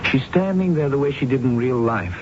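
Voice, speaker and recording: Male actor, haunted young man, hesitant confession, mid-pitched, radio drama, noisy old broadcast. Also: expressive, highs cut off, natural voice. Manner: hesitant, halting, confiding. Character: troubled former graduate student, haunted young man. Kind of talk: 1970s radio drama acting.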